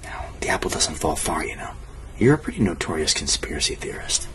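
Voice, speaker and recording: Man playing whispering, low-voiced wisecracking skeptic sidekick. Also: big pitch swings, a little fast. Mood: teasing, skeptical. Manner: slangy, teasing, skeptical. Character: wisecracking skeptical male cousin, reluctant burglar sidekick. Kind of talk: whispered fictional audio drama for ASMR.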